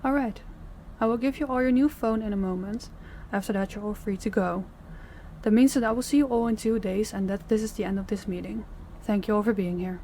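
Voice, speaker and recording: A crime boss acted by a woman holds command with a measured, authoritative delivery. Her voice sits at a medium pitch in this audio drama, with slight noise behind it. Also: mellow, lightly acted. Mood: businesslike, commanding. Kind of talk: audio roleplay acting.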